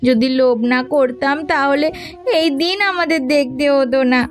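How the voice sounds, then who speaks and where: A woman voicing a dramatic village wife in a cartoon, complaining and sharp-tongued. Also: high-pitched, normal speed, lightly acted. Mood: resigned, plaintive. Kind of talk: Bengali cartoon voice acting.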